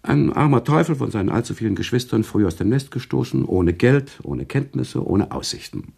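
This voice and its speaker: An actor playing a thoughtful, sympathetic storyteller who narrates within a German radio play, deliberate and expressive. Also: male voice, medium pitch, gravelly, husky, full character voice. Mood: narrating. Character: thoughtful, sympathetic male storyteller, the author himself.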